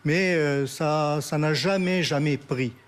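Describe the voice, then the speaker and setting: A man giving unscripted political commentary as a guest analyst, halting and repeating himself as he searches for words. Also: on the high side.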